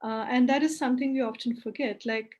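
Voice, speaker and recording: A woman from India, speaking as a panelist in a measured, articulate way. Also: on the high side, steady tone, a little mellow, highs cut off. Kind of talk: panel discussion.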